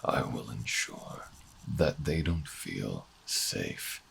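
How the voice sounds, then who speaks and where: Extremely deep, gravelly older revolutionary. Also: male voice, big pitch swings, fairly bright tone, slight background noise, full character voice. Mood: defiant, seething. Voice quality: very gravelly, husky. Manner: dramatic, brooding, halting monologue. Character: bitter older human revolutionary fugitive, defiant and theatrical. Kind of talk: audio roleplay drama acting.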